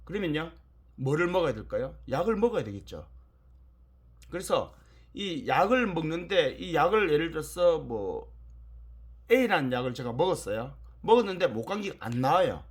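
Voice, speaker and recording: A man's voice: on the high side, very animated, moderate pace, slightly rough. A man lecturing in an explanatory, emphatic way, repeating key points and asking rhetorical questions.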